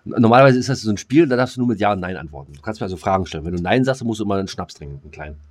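Very deep-voiced man, dry close sound. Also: animated, racing, a little mellow, no echo. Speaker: podcast co-host. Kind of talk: casual chat.

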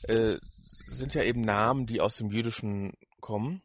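A man's voice: lowish voice, normal speed, a little hiss or hum. A man co-hosting a podcast, commenting casually and often repeating and rephrasing his points. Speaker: podcast co-host.

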